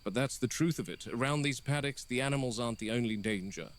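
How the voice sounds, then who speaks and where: A man playing a stern, exasperated game warden delivering a grave, deliberate monologue in a found-footage horror piece. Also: medium pitch, natural voice. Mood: grim, stern. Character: stern, exasperated male park game warden logging incidents. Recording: noisy found-footage audio with insects.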